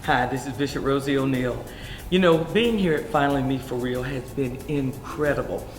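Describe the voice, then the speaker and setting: A woman bishop giving an animated, preacherly testimonial. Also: very deep voice, moderate pace, faint music behind. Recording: echoey hall.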